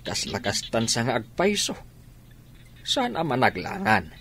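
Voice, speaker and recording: A man's voice: mid-range voice, wildly swooping pitch, measured pace, fairly bright tone, a little hiss or hum, full character voice. A man playing a concerned, inquisitive father in an Ilocano radio drama, with an Ilocano accent.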